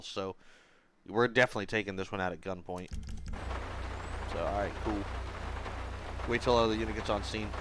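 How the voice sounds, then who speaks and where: A man hosting a police game video, talking casually over the gameplay while he is sick with a cold, and shouting commands at suspects during stops. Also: mid-range voice, background noise. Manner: barks loud commands at suspects.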